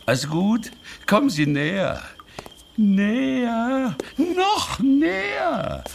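A man playing a very old, eccentric, secretive inventor who mutters, stammers and bursts out excitedly in a children's audio play. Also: extremely high pitch, drawn-out words, creaky, a little hiss or hum, exaggerated voice. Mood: conspiratorial. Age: older. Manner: muttering, stammering, erratic outbursts.

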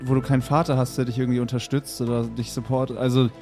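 A man's voice: medium pitch, level tone, fast. A man narrating and questioning in an improvised comedy radio play, amused and drawing out his words. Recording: music behind the voice.

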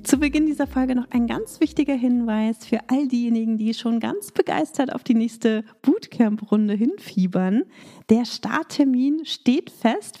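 Woman podcast host, mellow fairly high voice, slightly hoarse from a cold, upbeat coaching delivery. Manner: upbeat coaching tone, with fillers in free talk. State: recovering from a cold, voice slightly rough. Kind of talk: scripted intro then casual monologue.

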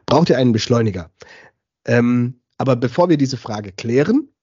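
Ein Mann, der als Gastgeber eines Podcasts locker und plaudernd durch ein Gespräch führt. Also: male voice, medium pitch, lively intonation, moderate pace, dark tone, slightly rough, no echo, dull audio. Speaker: podcast host. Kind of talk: casual talk moderation.

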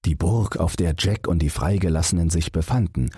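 Deep, very husky male storyteller. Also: fairly quick, warm tone, dry recording, clean studio sound. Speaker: audiobook narrator. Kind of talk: scripted fairy-tale narration for bedtime.

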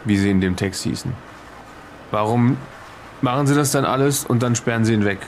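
A man's voice: mid-range voice, a little fast, a little hiss or hum, natural voice. A man playing a puzzled, probing listener who keeps questioning to piece together a mystery, in an improvised in-character audio drama. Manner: questioning, insistent.

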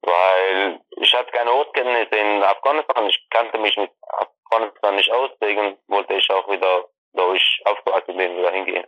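Halting man on a dry, lo-fi video call. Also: on the low side, fairly even intonation, no room sound. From Iran. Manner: halting, restarts and self-corrections. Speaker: interview guest. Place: family apartment.

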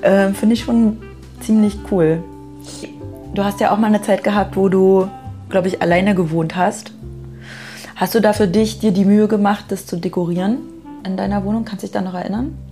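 A woman's voice: mid-range voice, fairly even intonation, normal speed, warm tone, faint music behind. A woman in a casual, spontaneous chat, sharing her own habits and putting questions to her conversation partner.

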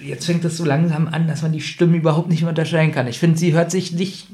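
A man's voice: on the high side, fairly even intonation, quick pace, dark tone. A man co-hosting a podcast in casual, conversational chat, chiming in with opinions and corrections on an audio drama. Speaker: podcast co-host.

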